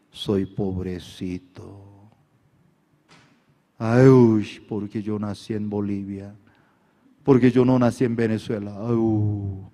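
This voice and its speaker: A man's voice: fairly low pitch, dark tone. A male pastor preaching a sermon in simplified, non-native Spanish, calling on the congregation to repeat after him and raise their hands. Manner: preaching with call-and-response to the congregation. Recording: echoey hall.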